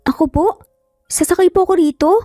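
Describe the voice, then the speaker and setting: A woman's voice: very high voice, steady tone, brisk, dark tone, no echo, studio quality, soft background music, voice pushed up. A woman voicing a feisty, easily flustered young single-mother nanny, sassy yet polite, in a narrated story. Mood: surprised.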